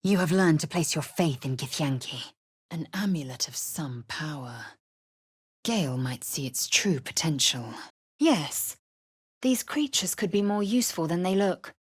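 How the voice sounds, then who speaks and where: Low, raspy, haughty alien warrior woman. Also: animated, measured pace, full character voice. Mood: brusque. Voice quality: raspy, husky. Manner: clipped, imperious, disdainful. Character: fierce, haughty young female alien warrior. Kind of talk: video game character acting.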